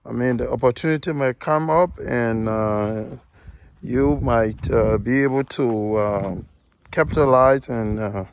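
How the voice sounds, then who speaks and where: A man giving a casual outdoor walkthrough of his farm plot, talking haltingly with many fillers and pleading with viewers to subscribe. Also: medium pitch, a little hiss or hum. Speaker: vlogger giving a farm tour.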